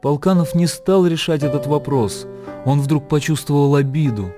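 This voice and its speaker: A man narrating literary fiction for a radio drama in a measured, expressive storytelling manner. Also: a little high. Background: music.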